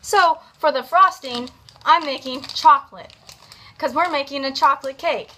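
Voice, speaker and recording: A young adult woman giving a casual, comedic cooking tutorial in a home kitchen, with playful asides and drawn-out words. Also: high-pitched, sharp tone. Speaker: host of a home cooking video. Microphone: camera on counter at about arm's length. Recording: background kitchen noise, clicks.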